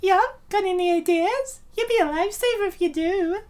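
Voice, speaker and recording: Woman acting very high-pitched bubbly college girl. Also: normal speed, darkish tone. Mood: earnest. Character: bubbly, slightly ditzy young female college student. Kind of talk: comic dub character acting.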